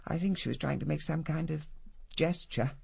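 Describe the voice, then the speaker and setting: Haughty, husky upper-class matriarch. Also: female voice, sits low, moderate pace, warm tone, full character voice. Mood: formal, firm. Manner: imperious, clipped, formal. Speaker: actress in a radio detective drama. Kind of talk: radio drama dialogue.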